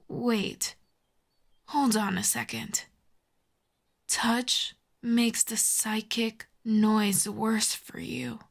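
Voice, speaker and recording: A woman playing a telepathic villain in an intimate roleplay audio drama, cool and commanding but grudgingly tender, with measured, dry, hushed delivery. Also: mid-range voice, gravelly. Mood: hushed, curious.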